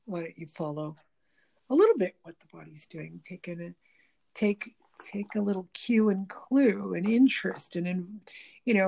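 A woman's voice: lowish voice, creaky, darkish tone. A woman with a slightly nasal voice in casual conversation, rambling with many restarts. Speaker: guest talking about diet and the body.

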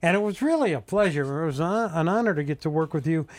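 A man's voice: fairly high pitch, animated, quick pace. A man hosting a casual morning radio show, joking and stumbling over fillers and restarts.

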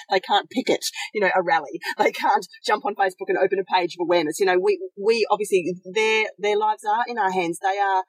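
A woman with a husky voice, congested with a bad cold, co-hosting a casual chat. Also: lowish voice, dry recording, poor audio. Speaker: co-host. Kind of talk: casual chat between co-hosts.

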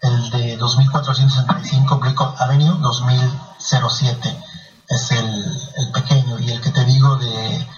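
A man's voice: mid-range voice, little pitch movement, normal speed. A man interviewing a guest on the radio and reading out names and addresses in a methodical way. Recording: echoey, distant, muffled radio sound, faint music.

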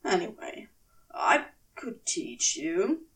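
Woman doing a slurring, drunk man, coaxing and slow. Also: lowish voice, big pitch swings, fairly bright tone, distant mic, pitched below the performer's own voice, full character voice. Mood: drunkenly coaxing. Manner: slurred, loose, scornful drawl. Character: drunk, patronizing, coaxing man sprawled on a sofa.

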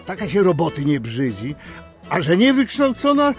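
A man's voice: fairly high pitch, wildly swooping pitch, normal speed, faint music behind. A man playing a blunt, jovial, meddling town magistrate in a radio play, with folksy, teasing speech. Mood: teasing, persuasive.

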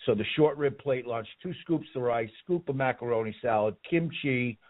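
A man in the middle of a casual interview, rambling through stories and doubling back on his own words. His voice sits at an ordinary pitch and comes through a narrow, phone-like line. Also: normal speed. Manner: rambling, repeats words, laughs easily. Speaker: guest.

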